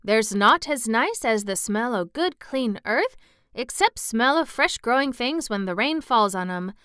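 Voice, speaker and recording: A woman's voice: a little high, animated, unhurried, fairly bright tone, clean tone, no echo, clean studio sound, voice pushed up. A woman voicing a cheerful, hardy twelve-year-old country boy who loves nature, speaking hearty, earnest Yorkshire dialect in a storybook reading. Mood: cheerful, proud.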